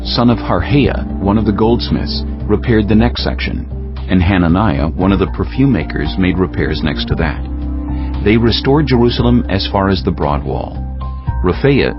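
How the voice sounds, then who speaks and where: A man reading scripture as an audiobook narrator, solemn and measured, with deliberate pauses. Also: low voice, dull audio. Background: prominent background music.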